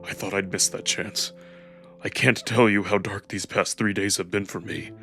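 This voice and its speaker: A man's voice: fairly low pitch, fairly even intonation, normal speed, a bit bright, faint music behind. A man voicing a devoted, playful alien wolf with snark, speaking tenderly as a visual novel character. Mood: tender, emotional.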